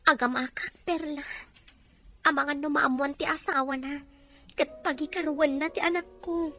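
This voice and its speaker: A woman's voice: high voice, fairly even intonation, moderate pace, fairly bright tone. A woman acting an earnest, wistful young mother confiding in a friend, with a Filipino accent, in a melodrama.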